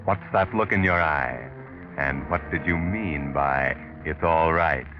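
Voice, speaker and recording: A muffled vintage radio recording with music behind an ominous mystery narrator. His voice is very low, and he taunts and insinuates with sardonic menace, 1940s style. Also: heavily acted. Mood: knowing, sardonic. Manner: taunting, insinuating, deliberate rhetorical questions.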